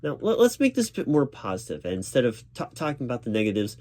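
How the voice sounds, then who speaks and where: A man doing a spoken ASMR job interview roleplay as a reassuring, gently professional interviewer who speaks a little hesitantly. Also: mid-range voice, wildly swooping pitch, fast. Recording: clear background noise of keyboard typing. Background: computer keyboard typing.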